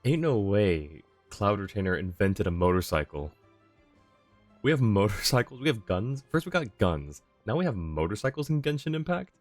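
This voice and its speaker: Low voiced streamer riffing on a game, animated and jokey, dry close mic, faint music. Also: normal speed, no room sound. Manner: animated, joking, riffing asides. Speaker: livestream host. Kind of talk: casual game stream commentary and read-aloud.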